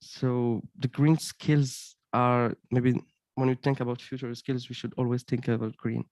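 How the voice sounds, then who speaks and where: A man's voice: medium pitch, flat delivery, a little slow, a little mellow, no room sound. A man answering a question on a panel, explaining his point while searching for words with fillers.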